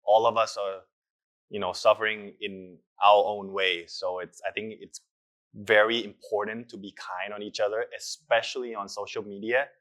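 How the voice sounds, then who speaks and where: Young actor, earnest and reflective, low-ish voice, halting fillers. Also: male voice, flat delivery, a bit bright. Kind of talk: casual interview answers.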